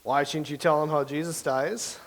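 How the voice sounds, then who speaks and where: A man's voice: on the high side, fairly even intonation, moderate pace, lightly acted, low-quality recording. An actor playing a dry, matter-of-fact male playwright in a staged play reading.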